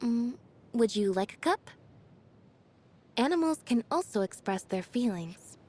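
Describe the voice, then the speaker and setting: High, deadpan young magician's assistant. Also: female voice, unhurried. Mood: thoughtful. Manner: deadpan, reserved, understated. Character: aloof, quiet young female magician's assistant. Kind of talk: video game character voice lines.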